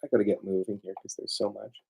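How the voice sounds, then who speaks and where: A man's voice: lowish voice, level tone, fast, vocal fry, warm tone, husky. A man giving an informal lecture as a lawyer, emphatic and digressive, often throwing rhetorical questions at his listeners. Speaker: lawyer presenting a seminar.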